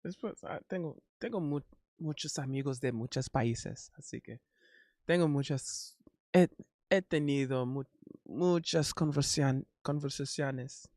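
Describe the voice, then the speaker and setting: A Haitian man speaking Spanish as a learner, chatting casually on a stream, halting and correcting himself often. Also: fairly high pitch, darkish tone, no echo, clean studio sound. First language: not Spanish. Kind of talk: casual chat.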